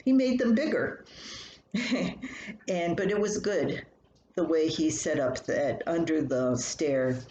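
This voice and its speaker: An older woman with a slightly low voice reads a light personal column aloud. Her delivery is folksy and conversational, like home storytelling. The room adds noticeable echo, with faint noise behind her. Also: slow, darkish tone, dull audio. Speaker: columnist reading own work.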